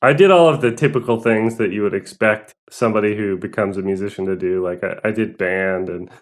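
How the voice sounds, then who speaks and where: A man's voice: fairly low pitch, a little fast. A young man from Southern California, a guest musician telling his story in a rambling, hesitant way. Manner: rambling, hesitant, with many fillers and laughs. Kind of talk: casual interview.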